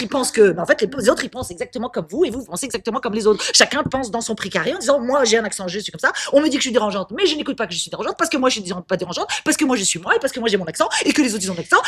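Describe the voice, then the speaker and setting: A woman's voice: a little high, close to an everyday voice. A woman playing a pushy, mocking clown interviewer. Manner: argumentative, teasing, breaks into laughter, rambling. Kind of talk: comic clown sketch interview.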